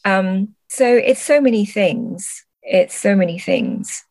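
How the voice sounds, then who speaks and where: A woman's voice: mid-range voice, takes it slowly, darkish tone, dry recording. A woman speaking as a podcast guest and translator, explaining literature thoughtfully and often searching for her words.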